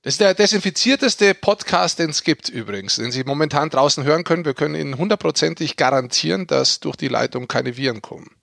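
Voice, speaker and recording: A man's voice: on the high side, lively intonation, quick pace, gravelly, hardly any pauses, a bit bright, no room sound, clean studio sound. A man co-hosting a sports podcast, chatting casually in a teasing, tongue-in-cheek way. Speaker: podcast co-host, ice hockey pundit.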